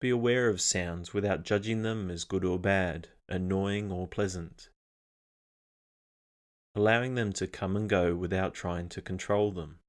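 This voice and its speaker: A man leading a scripted guided meditation in a calm, measured, instructional manner. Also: sits low.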